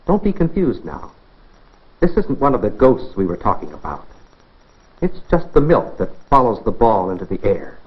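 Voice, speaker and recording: An American man presents a science demonstration in the manner of a 1950s classroom film. His pitch swings expressively as he instructs and puts questions straight to the viewer. The sound is muffled and narrow, like an old film track. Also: huge pitch swings, normal speed, slight background noise. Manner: instructive, rhetorical questions, addresses viewer directly. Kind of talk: 1950s educational film narration, scripted demonstration. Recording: dull band-limited 1950s film soundtrack.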